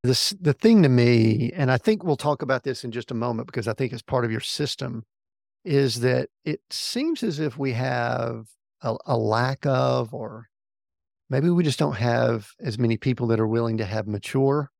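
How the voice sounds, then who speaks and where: Gravelly, deliberate podcast host. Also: male voice, mid-range voice, animated, a little mellow, dry recording, studio quality. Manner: deliberate, slow in places, with restarts. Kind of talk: interview questions.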